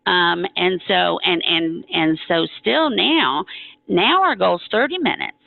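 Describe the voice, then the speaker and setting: A woman giving an informal explanatory presentation on a conference call as a hospital stroke coordinator, conversational and emphatic, often halting and correcting herself, over a thin telephone line. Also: fairly low pitch, lively intonation, creaky, no echo.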